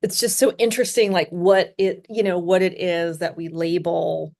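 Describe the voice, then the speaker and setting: A woman's voice: lowish voice, steady tone, moderate pace, creaky, a little mellow. A woman from Hong Kong hosting a conversational interview, reflective and full of "you know" and "right?". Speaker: podcast host.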